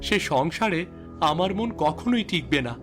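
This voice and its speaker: Guy doing angry young stepson in a cartoon melodrama, bitter and defiant, loud music behind. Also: on the high side, expressive, normal speed. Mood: bitter, upset. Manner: bitter, emphatic, scornful. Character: resentful, defiant young stepson rejecting his new family. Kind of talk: melodramatic cartoon story acting.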